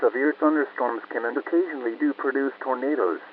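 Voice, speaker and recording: Man, weather radio announcer, mid-pitched and formal, scripted and impersonal, very narrow tinny sound. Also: brisk. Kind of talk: scripted weather watch bulletin. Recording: tinny phone-like weather radio, faint noise.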